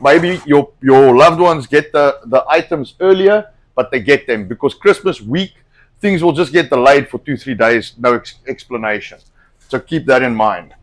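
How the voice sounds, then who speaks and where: Middle-aged male host, slightly hoarse, blunt and profane, casual cooking chat. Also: mid-range voice. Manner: rambling, blunt, swears a lot. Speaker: host cooking on a live stream.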